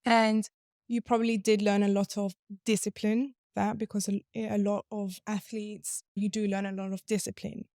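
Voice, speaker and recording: A young woman hosting an interview podcast, curious and lively, asking follow-up questions. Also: medium pitch, normal speed, dry recording, clean studio sound. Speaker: podcast host. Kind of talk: interview podcast, scripted intro then chat.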